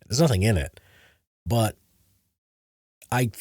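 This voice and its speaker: A man with a very low, deep voice, slightly husky, talking casually as he walks viewers through editing his own voice-over takes. He is recorded close on a studio boom mic in a home studio, and the sound is dry with no room echo. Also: animated, takes it slowly, a little mellow. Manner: talks over his own playback, breaking off to explain edits. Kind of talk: casual livestream tutorial mixed with recorded narration takes. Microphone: studio mic on a boom arm.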